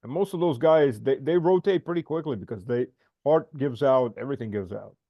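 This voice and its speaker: A man's voice: medium pitch, brisk, dry recording, dull audio. A middle-aged man, a native Russian speaker, hosting an interview over a video call and talking bluntly and emphatically, often repeating himself. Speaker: podcast host. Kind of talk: casual interview chat.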